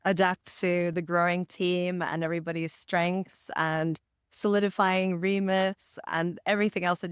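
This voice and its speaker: A woman who grew up in Botswana speaks as an interview guest, her voice crisp and on the low side. She is articulate and measured, with a positive way of putting things. The sound is close and dry, with very little room in it. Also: dry recording. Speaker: podcast guest, marketing director. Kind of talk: reflective interview answers.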